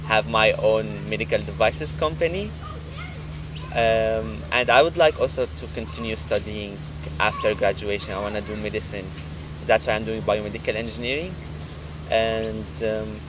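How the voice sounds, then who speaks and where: Low-voiced young man from Syria, distant mic. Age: young adult. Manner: measured, hesitant with fillers. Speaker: student interviewee. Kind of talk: interview answers. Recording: distant mic with street noise. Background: street traffic.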